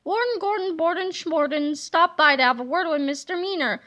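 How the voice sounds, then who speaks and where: A woman reading a children's chapter book aloud with lively, expressive narration. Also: very high-pitched, moderate pace. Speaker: reader.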